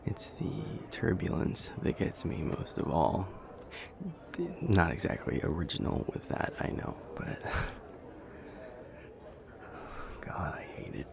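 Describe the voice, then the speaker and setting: Man acting a low, stammering, nervous vampire boyfriend. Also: very animated, creaky, in a whisper, exaggerated voice. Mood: anxious. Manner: hesitant, stammering, halting nervous speech. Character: nervous male vampire boyfriend afraid of flying. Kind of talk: intimate boyfriend audio roleplay.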